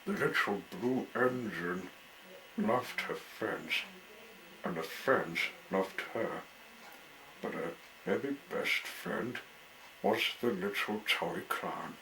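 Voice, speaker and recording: A man with a fairly low, bright and forceful voice reads a children's story aloud. He goes very slowly, breaking sentences into short deliberate phrases. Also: a little hiss or hum, low-quality recording.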